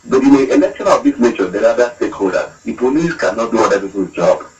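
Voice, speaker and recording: A man's voice: mid-range voice, lively intonation, quick pace, darkish tone. A man with a Nigerian accent speaking unscripted as a police spokesman, emphatic and repeating phrases for stress. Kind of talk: unscripted radio interview commentary. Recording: radio clip, distant from the mic.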